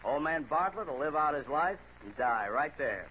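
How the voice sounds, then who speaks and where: A man's voice: on the high side, animated, normal speed, close to an everyday voice. A man playing a fussy, emphatic records clerk in a radio western, formal and officious in speech. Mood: emphatic, certain. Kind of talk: 1950s radio western acting. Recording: noisy, muffled old radio recording.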